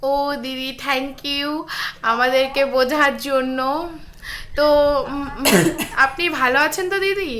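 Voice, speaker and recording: A woman's voice: sits high, a little slow, a bit bright. A woman vlogger casually telling viewers about a prank call, hesitant with many fillers. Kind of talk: casual vlog talk to viewers.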